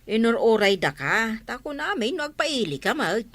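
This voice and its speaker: An outspoken, proud village neighbour played by a woman, with an Ilocano Filipino accent. Her bright, fairly high voice moves in lively swings, as in a village comedy drama. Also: normal speed, no room sound, full character voice. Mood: firm.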